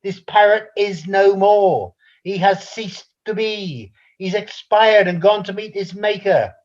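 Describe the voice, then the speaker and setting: A woman's voice: mid-range voice, slow pace, darkish tone, close to an everyday voice. A woman playing an indignant, exasperated pet-shop customer in an amateur radio comedy, complaining insistently with pompous formality. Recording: dull, band-limited recording.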